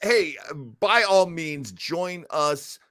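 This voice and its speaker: A man's voice: a little high, drawn-out words, sharp tone, clean tone, dry recording, clean studio sound. A man hosting a podcast in casual, jokey chat. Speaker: podcast host.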